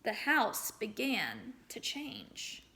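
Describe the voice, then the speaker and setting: A woman reading a storybook aloud as a teacher, expressive and pausing to address young listeners. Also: on the high side. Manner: expressive, acts out sound words.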